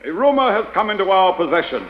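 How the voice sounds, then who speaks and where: Commanding spymaster, high-pitched man, measured and formal, vintage radio adventure. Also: very animated, muffled recording. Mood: grave, formal. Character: formal, authoritative male chief of a secret agency. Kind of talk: 1940s radio acting.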